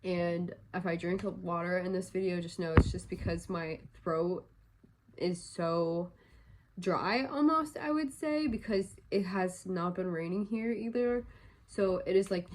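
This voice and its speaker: A woman's voice: lowish voice, a little slow, low-quality recording. A young woman vlogger chatting casually, a little congested and stuffy-nosed, rambling with lots of fillers. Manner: rambling, filler-heavy talk. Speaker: crochet vlogger. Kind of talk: casual vlog chat.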